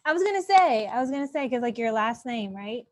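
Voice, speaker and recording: Bubbly young woman host, bright pitch. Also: lively intonation, brisk, no room sound, studio quality. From Colombian and Mexican heritage. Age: 20s. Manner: bubbly, laughs often, lots of fillers. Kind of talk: casual interview.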